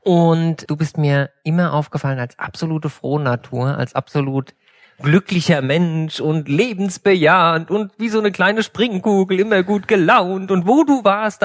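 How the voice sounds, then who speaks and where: Enthusiastic German podcast host. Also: male voice, on the high side, measured pace, a bit bright, no echo, studio quality. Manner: enthusiastic, gushing, laughs often. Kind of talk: interview podcast.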